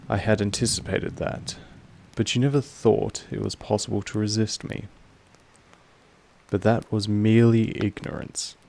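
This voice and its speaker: A man voicing a possessive, patient, commanding vampire master in a roleplay audio monologue, speaking in a slow, deliberate way with weighty pauses. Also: a little mellow. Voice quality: low, creaky. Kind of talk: scripted roleplay audio monologue addressed to the listener.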